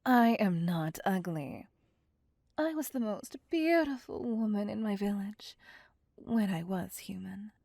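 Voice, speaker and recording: A woman voicing a possessive, vain vampire mistress in an ASMR roleplay, breathy and often whispering, with condescending endearments. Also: medium pitch, lively intonation, dark tone, no echo, clean studio sound. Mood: haughty. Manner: slow, deliberate, condescending endearments. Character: possessive, vain female vampire, commanding and seductive. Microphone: close, dry microphone.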